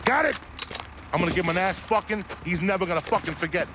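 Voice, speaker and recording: An actor playing a vicious, sneering mob boss in a video game cutscene, aggressive and constantly profane. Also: male voice, high voice, fast, background noise, lightly acted. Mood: furious. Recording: loud music under the dialogue.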